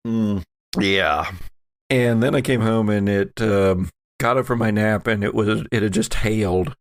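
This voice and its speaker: A man's voice: lowish voice, a little slow, no room sound, clean studio sound. A man hosting a podcast, chatting casually and narrating a tabletop game as game master.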